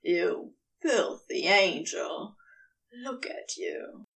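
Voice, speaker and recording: Storybook male serpent, female reader: smug, teasing, breathy, dropped pitch, slightly distant. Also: animated, drawn-out words, fairly bright tone, full character voice. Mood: teasing, aroused.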